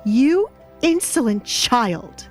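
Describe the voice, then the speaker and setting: Villainous stepmother, played by a female narrator, haughty and scolding, raised pitch, audio drama delivery. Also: big pitch swings, drawn-out words, darkish tone, soft background music, exaggerated voice. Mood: contemptuous, angry.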